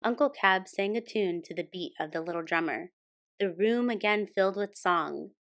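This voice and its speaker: A woman hosting a storytime and reading a children's picture book aloud as expressive scripted narration. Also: medium pitch, normal speed. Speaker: storytime host and reader.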